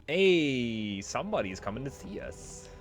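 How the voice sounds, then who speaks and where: A man hosts a video game playthrough in a casual, joking way. His pitch is lively and swings around freely as he goofs about. Faint music plays behind him. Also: measured pace. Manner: jokey, breaks into silly singing and goofing. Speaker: let's play host.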